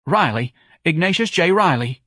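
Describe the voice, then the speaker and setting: A man voicing a timid, flustered male office manager with a shrill, quavering voice in an audiobook. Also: animated, moderate pace, a bit bright, breathy edge, dry recording, studio quality. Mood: uncertain. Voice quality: shrill, piping. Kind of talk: audiobook character voice.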